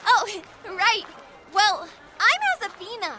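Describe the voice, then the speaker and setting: Woman acting eager little girl, very high-pitched, excitable, audio fantasy drama, loud musical backing. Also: slow, music in the background, heavily acted, low-quality recording. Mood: eager, curious. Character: eager, friendly girl with fire magic.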